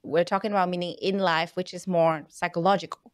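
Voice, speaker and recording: A young woman whose first language is Vietnamese hosts an interview in English. Her voice sits a little low and sounds dry and close. She talks in a thoughtful, halting way, with plenty of "um" and "you know.". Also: steady tone, dry recording. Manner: thoughtful, hesitant, many fillers. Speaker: podcast host. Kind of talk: casual interview chat.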